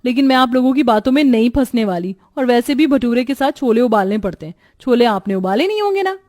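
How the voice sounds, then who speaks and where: A woman's voice: a little high, lively intonation, fast, a little mellow, lightly acted. A woman voicing a young, put-upon new bride who complains and protests plaintively in a cartoon story. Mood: disbelieving.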